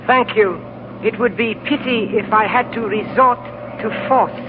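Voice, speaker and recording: An actor playing a menacing pilot who speaks in a measured, formally polite way, in 1940s radio drama style. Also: male voice, high voice, noisy background. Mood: polite menace. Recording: tinny 1940s radio broadcast with music.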